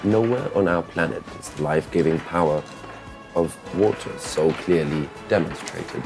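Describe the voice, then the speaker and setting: A man voicing a solemn, measured nature documentary narrator in a scripted parody. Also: low voice, gravelly, music in the background.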